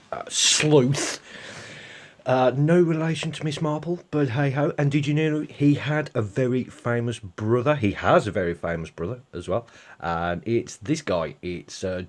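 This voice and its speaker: A man from the English Midlands talks in a thick Birmingham accent, lower pitched than most men. He rambles through his review with plenty of "uh" and "you know", tossing chatty asides to his viewers. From England. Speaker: TV episode reviewer. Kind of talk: casual spoken review.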